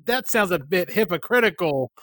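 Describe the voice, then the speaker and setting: A man's voice: sits high, animated, moderate pace, a bit bright, dry recording. A man hosting a podcast in a casual conversational style, circling loosely toward his questions. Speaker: podcast host, interviewer.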